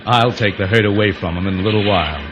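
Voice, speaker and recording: An actor playing a smooth, threatening American gangster who taunts his captives, in old radio adventure style. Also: on the low side, quick pace, dull audio, natural voice. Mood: mocking, menacing. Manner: mocking, threatening. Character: menacing, smooth-talking tough gangster with a gun. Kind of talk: 1940s-50s radio adventure acting. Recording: noisy old radio recording.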